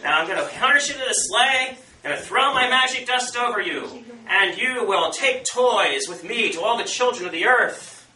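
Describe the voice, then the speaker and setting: A commanding storybook Santa, as a male storyteller plays him, addresses his team in a folksy, emphatic way. The voice is bright and lively in pitch, heard from afar in an echoing room. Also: moderate pace, hardly any pauses, full character voice. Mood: brisk instructing. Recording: roomy, far from mic, faint noise.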